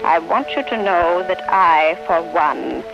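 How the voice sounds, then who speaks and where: An actress playing an earnest friend who narrates a tragic tale in a measured, solemn 1930s radio drama style. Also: female voice, on the low side, animated, creaky, heavily acted. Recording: dull vintage radio recording, loud music behind.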